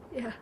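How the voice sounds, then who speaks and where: A woman's voice: bright, forceful tone, slight background noise. A woman playing a bitter, guilt-ridden, foul-mouthed woman in an audio drama, blunt and defensive in manner.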